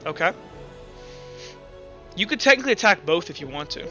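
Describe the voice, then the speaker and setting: Man, very bright voice, tabletop game narration, casual, profane, muffled online audio, music behind. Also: high-pitched, big pitch swings, a little fast. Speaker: game master.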